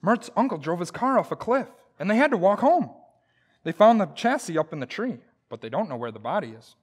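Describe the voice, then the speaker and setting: A man's voice: high-pitched, big pitch swings, a little fast, slightly rough, full character voice. A man playing a blustering, wisecracking, stubborn husband in old-time radio comedy, with a folksy, exaggerated, jokey delivery. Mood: chatty.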